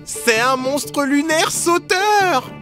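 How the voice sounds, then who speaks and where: A woman voicing an expressive, easily scared young boy in a children's cartoon. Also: high voice, very slow, loud music behind the voice, exaggerated voice. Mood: frightened. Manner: expressive, exclaiming. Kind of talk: animated children's cartoon dialogue.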